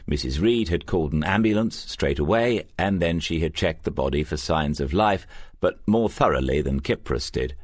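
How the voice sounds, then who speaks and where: A man narrating a crime novel as an audiobook, with measured, scripted storytelling. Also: extremely low pitch, animated, dry recording.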